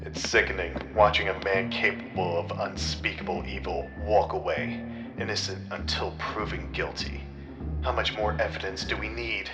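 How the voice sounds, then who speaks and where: Low deadpan detective played by a man, roomy echo. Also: normal speed, music in the background, close to an everyday voice. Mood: dramatic, grim. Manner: dramatic, deadpan. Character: self-serious, dim-witted male private detective, narrating his case. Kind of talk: parody noir narration and character dialogue.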